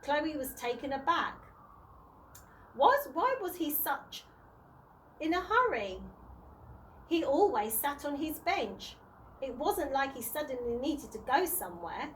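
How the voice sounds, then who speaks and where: A woman reading a children's storybook aloud in a deliberate way, sometimes stumbling and rereading words. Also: high voice, big pitch swings, a bit bright, a little hiss or hum. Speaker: early years practitioner reading to children.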